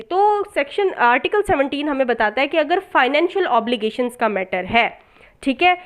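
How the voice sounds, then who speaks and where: A woman with a Pakistani accent giving an explanatory law lecture, didactic and often checking that listeners follow. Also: high-pitched. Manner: didactic, repeatedly checking understanding. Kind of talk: explanatory exam lecture mixing in English legal terms.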